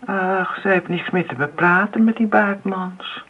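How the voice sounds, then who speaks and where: A woman's voice: mid-range voice, a little slow, lightly acted. An actress playing a nosy, disapproving neighbour woman in a radio play, gossiping with insinuating emphasis. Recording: muffled narrowband old radio play recording.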